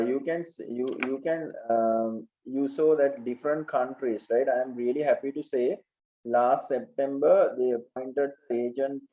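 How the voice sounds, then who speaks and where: Mellow-voiced man giving an informal presentation. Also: medium pitch, rough recording. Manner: explanatory, often checks with "right?". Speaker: education agent presenter.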